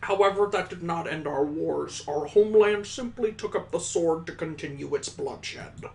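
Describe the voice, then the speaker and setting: A man voicing a courteous, formal elderly elf mentor in a visual novel read-aloud, speaking in a stately, deliberate way. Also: a little high, vocal fry, darkish tone. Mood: solemn.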